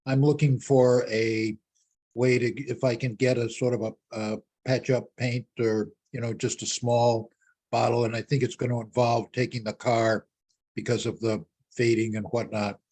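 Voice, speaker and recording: A man asking a question on a club conference call, speaking casually in halting fragments. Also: mid-range voice, little pitch movement, a little mellow. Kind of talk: casual chat on a group call.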